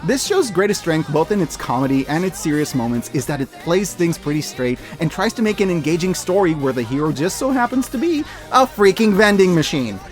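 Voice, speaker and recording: A man hosting a scripted review in a casual, joking, wry way, with expressive, emphatic delivery. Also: fairly high pitch, a little fast, few pauses. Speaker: host of a year-end media review show. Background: music behind the voice.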